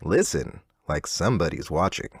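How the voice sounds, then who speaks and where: An actor playing a relentlessly cheerful, slightly unsettling radio DJ, with theatrical and reassuring retro announcer patter. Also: male voice, low-pitched, expressive, moderate pace, slightly rough, no echo, studio quality. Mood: upbeat, reassuring.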